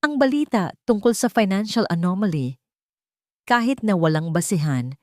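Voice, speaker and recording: A woman's voice: mid-range voice, lively intonation, moderate pace, dry recording, studio quality. A woman narrating a scripted dramatic audio story.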